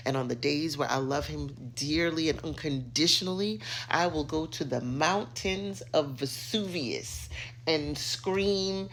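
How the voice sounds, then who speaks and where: Middle-aged woman, American accent, gravelly creaky voice, sassy theatrical rant, dramatic pauses, faint background noise. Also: extremely low pitch, a bit bright. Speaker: social media commentator. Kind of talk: opinionated rant to camera.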